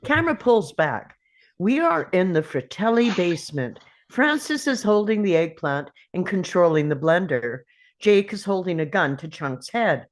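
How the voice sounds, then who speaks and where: A woman's voice: fairly low pitch, big pitch swings, moderate pace, a little hiss or hum. A woman narrating a screenplay's scene directions at a table read.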